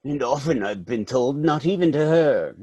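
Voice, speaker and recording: A man's voice: mid-range voice, animated, moderate pace, dark tone, husky, no echo. Guy playing a kindly middle-aged vicar in a stage comedy, stuffy and pedantic, lots of lilt, dry sound. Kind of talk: dramatic reading of a stage comedy.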